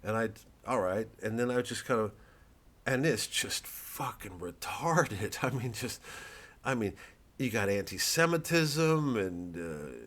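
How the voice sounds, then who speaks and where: A middle-aged man with a fairly low voice rambles through a casual monologue. He is sardonic and keeps drifting off topic, then bursts into loud, mocking asides. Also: lively intonation, unhurried. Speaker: comedian promoting his work.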